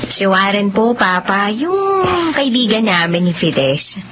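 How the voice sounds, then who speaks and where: An actress playing a friendly, candid young woman in a Filipino radio drama, with an expressive conversational delivery. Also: medium pitch, slow pace. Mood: respectful, exasperated. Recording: dull lo-fi audio with background noise.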